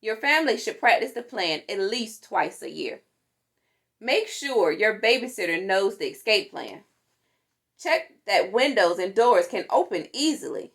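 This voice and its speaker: A woman reading a children's safety book aloud in an animated, instructive manner. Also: mid-range voice, unhurried, vocal fry. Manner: animated, instructive, acts out sound words. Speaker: storytime reader.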